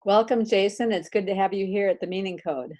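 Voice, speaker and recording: A woman's voice: mid-range voice, brisk, a little mellow. A woman hosting a podcast, upbeat and welcoming as she opens the show and interviews her guest. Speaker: podcast host. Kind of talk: scripted intro then interviewing.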